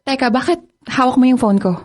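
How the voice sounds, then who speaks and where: A woman's voice: sits high, fairly quick, a little mellow, close to an everyday voice. A young woman acting a cold, evasive girlfriend in a radio drama, speaking in a curt, dismissive way. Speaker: girlfriend character.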